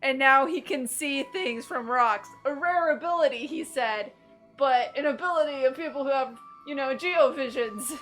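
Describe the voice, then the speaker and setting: A woman's voice: high voice, moderate pace, fairly bright tone, faint music behind, poor audio. A woman hosting a casual gaming playthrough, jokily paraphrasing what the characters say. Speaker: gaming video host and player.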